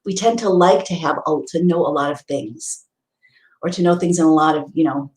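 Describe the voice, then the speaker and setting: A woman's voice: low-pitched, moderate pace, darkish tone, reverberant, low-quality recording. A woman giving a spiritual teaching talk, earnest and explanatory, often restarting her sentences. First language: English. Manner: earnest, explanatory, with many restarts and Hebrew readings.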